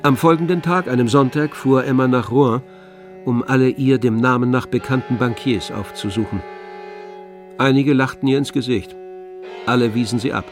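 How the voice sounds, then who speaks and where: A man's voice: medium pitch, steady tone, moderate pace, soft background music. A man narrating a literary radio drama in a scripted storytelling style.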